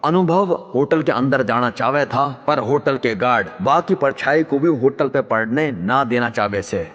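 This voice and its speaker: A man's voice: medium pitch, moderate pace, fairly bright tone, in a large hall, muffled recording. A man with a Haryanvi accent narrating an audio drama in a dramatic scripted storytelling style.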